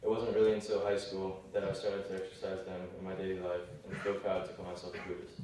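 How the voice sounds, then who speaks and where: A young man, around high school graduation age, delivering a prepared thank-you speech as a graduating senior. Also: completely flat delivery, fast, dark tone. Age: late teens, young adult. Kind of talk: prepared farewell speech to a congregation. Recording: very echoey hall, distant mic, faint noise.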